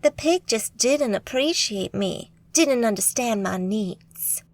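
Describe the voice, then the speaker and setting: A woman's voice: on the high side, lively intonation, slow pace. A woman putting on a coy Southern witch, Angel. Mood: coy flirtation. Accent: put-on Southern US drawl. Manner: coy, sugary, fake-sweet.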